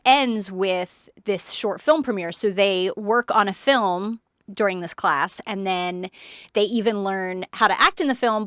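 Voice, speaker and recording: A woman co-hosting a show, chatting casually and upbeat, quick to laugh. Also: mid-range voice, a bit bright, clear, resonant tone, dry recording, studio quality. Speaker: podcast co-host. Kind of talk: casual chat.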